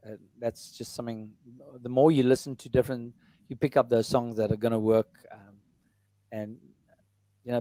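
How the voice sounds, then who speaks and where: A middle-aged man with a baritone voice, talking casually about his music in an interview, rambling with many fillers and restarts. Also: warm tone, no room sound, low-quality recording. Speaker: musician and entertainer.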